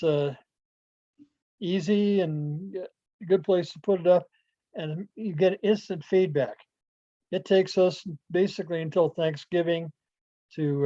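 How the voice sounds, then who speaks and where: A man with a soft-edged, relaxed voice gives an informal club presentation online. He explains in a halting, rambling way. The sound is dry and somewhat muffled, like a lo-fi video call. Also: fairly high pitch, dry recording.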